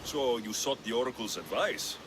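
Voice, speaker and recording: An actor playing a confident, direct ancient Greek mercenary with a dry manner in a video game cutscene. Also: male voice, mid-range voice, animated, normal speed, noisy background, close to an everyday voice. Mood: probing.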